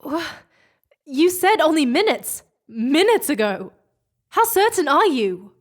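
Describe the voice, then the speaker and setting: Worried young woman, played by an actress: fairly high voice, deliberate pace, tense questioning, sci-fi drama delivery. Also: sing-song, full character voice. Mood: urgent. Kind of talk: scripted audio drama acting.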